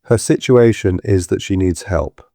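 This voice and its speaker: A man's voice: low-pitched, moderate pace, warm tone, dry recording, studio quality. A man playing a protective, firm character with a simmering temper in an audio drama, terse and tight-voiced. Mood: firm, angry.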